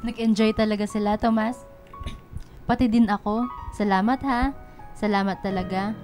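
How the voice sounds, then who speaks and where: A woman's voice: medium pitch, steady tone, normal speed, a little mellow, close to an everyday voice. A woman playing a grateful, tender mother in a radio drama, speaking earnestly. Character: grateful, tender working-class mother of two girls. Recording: music bed behind the voice.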